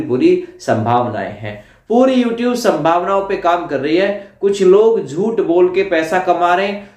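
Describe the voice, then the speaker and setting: The recording is roomy and echoing. A man speaks in a notably high voice for a male. He explains an update to his viewers informally, circling back over his points and checking in with short tag questions. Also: darkish tone. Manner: repetitive, with frequent tag questions. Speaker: YouTuber giving exam updates.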